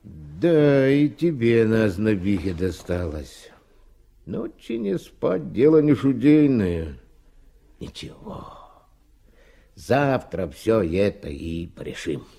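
A fatherly old Siberian raftsman, husky and mellow. His folksy radio-play delivery rises and falls expressively, and the voice sits fairly low. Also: very animated, slow pace, exaggerated voice. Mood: tired, gentle. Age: older. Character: kindly old Siberian timber rafter, fatherly to a boy. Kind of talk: Soviet radio drama acting.

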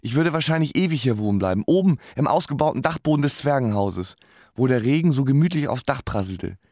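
A man's voice: medium pitch, lively intonation, fast, a little mellow, no echo, studio quality. An actor playing a self-deprecating young man stuck in life, narrating his story in a radio drama with wry self-mockery. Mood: wry, resigned. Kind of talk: first-person scripted radio drama narration.

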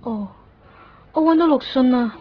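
A woman's voice: sits high, measured pace, a little hiss or hum. Lovesick young woman. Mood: urgent. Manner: intimate, wistful inner monologue. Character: young woman secretly watching the man she loves. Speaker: lead character and narrator. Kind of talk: 1990s radio drama acting. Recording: dull lo-fi radio play with music.

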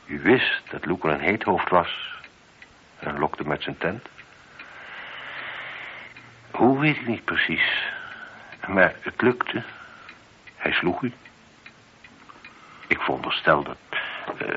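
Casting: methodical police inspector for radio drama, low voice, probing and courteous; muffled, noisy old recording. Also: male voice, sing-song, sharp tone, exaggerated voice. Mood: measured, accusing. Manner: measured, probing, politely insistent. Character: shrewd, methodical male chief police inspector confronting a suspect. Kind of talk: radio play dialogue.

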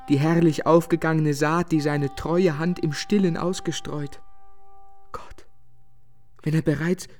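An actor playing a brooding, devoted young painter in a literary radio drama, soft, earnest and romantic in manner. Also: male voice, a little high, natural voice.

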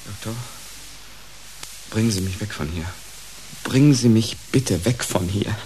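A man's voice: lowish voice, measured pace, warm tone, a little hiss or hum, lightly acted, rough recording. An actor playing a disgusted, reproachful man at breaking point in a radio drama, with an accusing, exasperated delivery. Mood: desperate. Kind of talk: German radio drama acting.

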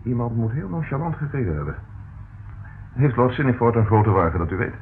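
A man's voice: medium pitch, very animated, a little fast. An actor playing a dogged, polite male police detective in a Dutch radio play, inquisitive and businesslike. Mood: probing, musing. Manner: inquisitive, businesslike, thinking aloud. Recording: tinny, band-limited old radio recording with noise.

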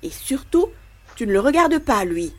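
A woman's voice: sits high, sing-song, normal speed. A woman playing a terrified, ashamed young boy in an anxious first-person inner monologue for audio fiction. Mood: nervous resolve.

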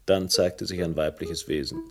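Male narrator, lowish voice, dry, ironic, scripted crime radio play, faint music. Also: steady tone, moderate pace, darkish tone. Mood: wry, brooding. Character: wry first-person crime story hero and narrator.

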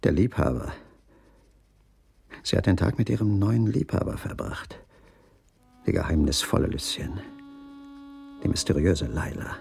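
A deep-voiced jealous husband broods in a radio crime drama. His voice is low with a gravelly creak, and he ponders bitterly, as if thinking aloud. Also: animated, measured pace, pauses often, darkish tone, soft background music, heavily acted. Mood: bitter, brooding. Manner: bitter, pondering inner monologue. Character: brooding, jealous betrayed husband, a dining-car waiter.

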